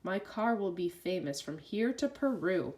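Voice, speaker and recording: A woman reading a rhyming children's story aloud with an expressive, sing-song delivery. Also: fairly low pitch, unhurried.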